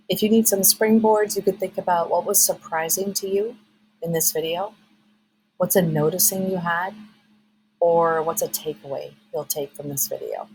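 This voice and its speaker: Facilitator, female, lowish voice, measured instructions. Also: a little mellow, slight background noise, poor audio. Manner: clear, step-by-step directions. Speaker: workshop facilitator. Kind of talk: instructional voice-over.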